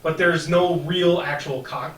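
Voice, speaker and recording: A man's voice: a little high, steady tone, a little slow, a crowd in the background. A man chatting casually from off to the side of a podcast, in a small room. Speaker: off-camera participant. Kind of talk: casual chat. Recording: echoey, off to the side.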